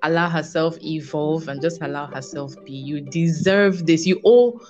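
A Nigerian woman with a fairly low voice talks casually as an interview guest. She is emphatic, repeating phrases to drive them home, on a slightly dull recording with faint music behind. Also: moderate pace, a little mellow. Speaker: podcast guest, screenwriter. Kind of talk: casual conversational interview answers.

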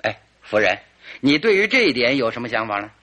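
Theatrical, animated detective. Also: male voice, mid-range voice, a little fast, a little hiss or hum. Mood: animated narrating. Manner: theatrical, leisurely deductive storytelling with rhetorical questions. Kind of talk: old-fashioned Mandarin radio drama acting.

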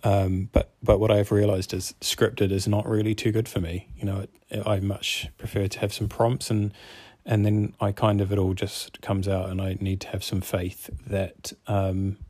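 Low, flat-voiced New Zealand man. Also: normal speed, no echo. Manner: earnest, thinking aloud, hesitant. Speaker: podcast host, fitness coach. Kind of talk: unscripted solo podcast musing.

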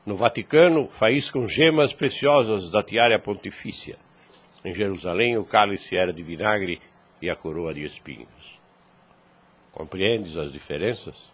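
A man's voice: on the low side, unhurried, a bit bright, slightly rough. An actor playing a wise, fatherly priest in a radio drama, solemn and preachy, in formal archaic phrasing. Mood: grave, preaching.

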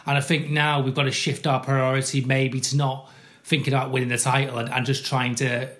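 A man with a croaky voice chats about football on a podcast with his brothers. He halts and restarts often as he talks. His pitch sits in the middle range. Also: little pitch movement, a bit bright. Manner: halting, many restarts. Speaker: podcast co-host.

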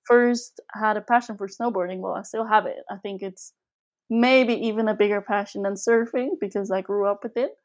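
A woman's voice: medium pitch, steady tone, normal speed. Young woman from Switzerland, chatting casually. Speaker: podcast guest. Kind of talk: casual conversational interview.

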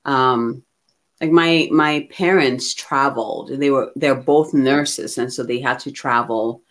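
Reflective, expressive woman from Ghana. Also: rumbling low, slow pace. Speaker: guest receiving a card reading. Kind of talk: candid personal conversation.

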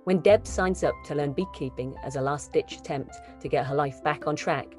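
A woman's voice: low voice, moderate pace. A woman reading a scripted introduction in a composed, evenly phrased way. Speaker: announcer introducing the play. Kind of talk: scripted narration. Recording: music bed behind voice. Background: music.

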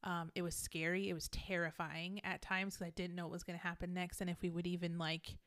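Deadpan woman co-host. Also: on the low side, brisk, no echo. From Texas. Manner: dry teasing, deadpan. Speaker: podcast co-host. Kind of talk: casual chat.

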